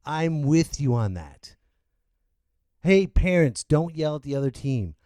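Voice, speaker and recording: A man hosting a podcast, ranting loudly and bursting into sudden yells. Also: a little high, big pitch swings, a little slow, no room sound, clean studio sound. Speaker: podcast host. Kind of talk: opinion rant.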